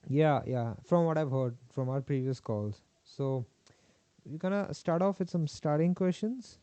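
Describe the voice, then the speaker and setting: A man's voice: a little high, unhurried, dark tone, clean tone, no room sound. A man hosting a casual podcast interview, asking questions with many hesitant fillers. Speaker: podcast host. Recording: dull lo-fi sound.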